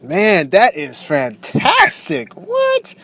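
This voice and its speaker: Upbeat guy hosting, kinda high voice, says man and you know a lot. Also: wildly swooping pitch, drawn-out words, bright, forceful tone, husky. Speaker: host. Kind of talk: casual interview.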